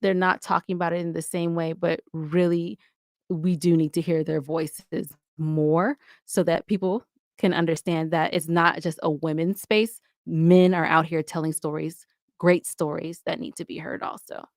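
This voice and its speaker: A woman's voice: low-pitched, flat delivery, normal speed, no room sound, studio quality. An American woman chatting casually as a podcast guest, with an emphatic, colloquial manner. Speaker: podcast guest, audio drama producer. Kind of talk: casual interview chat.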